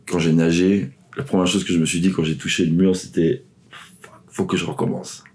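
A man's voice: sits low, dark tone. A man in his forties, raised in the Ivory Coast and Paris, a swim coach telling his story as a guest, rambling with lots of fillers and restarts. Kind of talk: casual interview chat.

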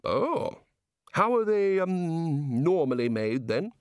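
A bluff older male head wizard, hearty and oblivious, drawing his words out slowly, as a man puts him on in a storybook reading. The sound is close and dry. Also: a little high, big pitch swings, smooth, no room sound, voice pushed up.

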